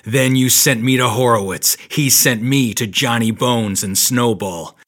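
An actor playing a world-weary, dry private detective in a 1930s noir audio drama, terse and tough with a sardonic edge. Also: male voice, mid-range voice, measured pace, a bit bright. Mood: accusing, hard.

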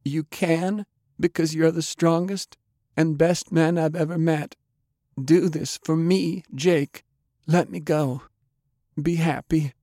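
Man voicing a high, pleading undead woman. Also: steady tone, takes it slowly, dark tone, no echo, clean studio sound. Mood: tender insistence. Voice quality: trembling. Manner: pleading, sorrowful. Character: dead woman turned undead, tender, pleading, resolute. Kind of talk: dramatic audiobook character voice.

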